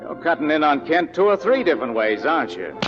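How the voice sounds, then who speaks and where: A man's voice: on the high side, very animated, fast, loud music behind the voice. An actor playing a stubborn, confrontational cattleman in a 1940s radio Western. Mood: defiant. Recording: dull old radio broadcast.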